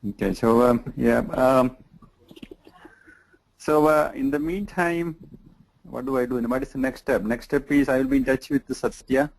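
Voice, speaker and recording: A man's voice: medium pitch, fairly even intonation, normal speed, creaky, long pauses, poor audio. A man from Kerala with an Indian English accent, a new trainee who politely asks questions and sometimes restarts his sentences. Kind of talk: casual conversation.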